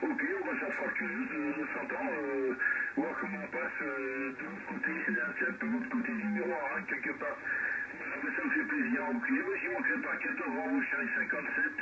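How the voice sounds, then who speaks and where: A man chatting casually as a radio operator, his voice coming through a radio transmission. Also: high-pitched. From France. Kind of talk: casual radio contact chat. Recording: very noisy, muffled CB radio reception.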